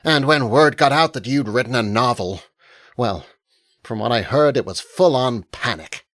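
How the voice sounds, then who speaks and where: A man's voice: medium pitch, expressive, normal speed, no room sound, studio quality, lightly acted. A male narrator voicing a strident, persuasive older woman literary agent. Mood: patient. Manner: smiling, persuasive, hushed when moved. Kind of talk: audiobook character voice.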